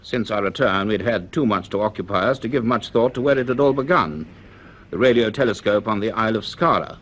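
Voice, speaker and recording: An actor playing a grave, cultured middle-aged professor in a vintage radio drama, speaking in a measured, dramatic way. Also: male voice, lowish voice, a bit bright. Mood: ominous, reflective. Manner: measured, deliberate, dramatic delivery. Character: grave, cultured male professor narrating his dreadful discovery.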